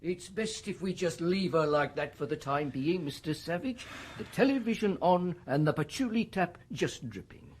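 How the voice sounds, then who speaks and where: An actor playing a bland, clinical official in a radio drama, smoothly matter-of-fact and reassuring. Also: male voice, a little high, animated, normal speed, few pauses. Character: brisk, bland official calmly explaining a dystopian world.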